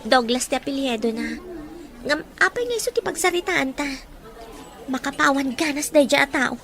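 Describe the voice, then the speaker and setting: A woman's voice: high-pitched, animated, normal speed, a bit bright, some chatter behind. A woman with a Filipino accent acting an apologetic, heartbroken young woman in an audio drama. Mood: sorrowful, apologetic.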